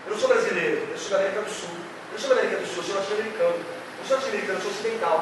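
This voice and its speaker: A man from Brazil with a São Paulo accent, giving a lively, theatrical literature lecture and addressing students directly. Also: sits high, racing. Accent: São Paulo state Brazilian Portuguese. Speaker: literature teacher. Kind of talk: animated exam-prep lecture. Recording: echoey hall, far from mic, noisy.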